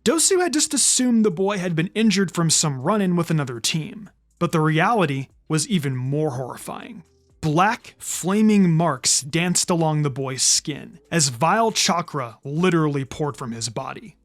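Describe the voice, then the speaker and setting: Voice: mid-range voice, animated, moderate pace. A narrator reading an action fan fiction story aloud in a dramatic scripted style, with music behind. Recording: music under the voice. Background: background music.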